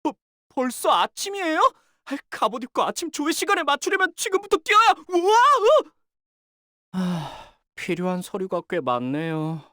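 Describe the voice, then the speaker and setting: A man playing an earnest, dutiful young fixer in a video game, polite and soft-spoken but easily flustered. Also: very high-pitched, huge pitch swings, normal speed, dry recording, studio quality, heavily acted. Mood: flustered, panicked. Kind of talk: expressive game character voice lines.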